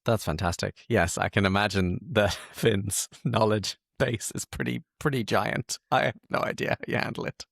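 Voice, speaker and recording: A man's voice: lowish voice, fairly even intonation, moderate pace, creaky, few pauses, a bit bright, dry recording, clean studio sound. A man hosting a casual interview and walkthrough, playful and self-deprecating, often repeating words as he goes. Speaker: podcast host and support consultant.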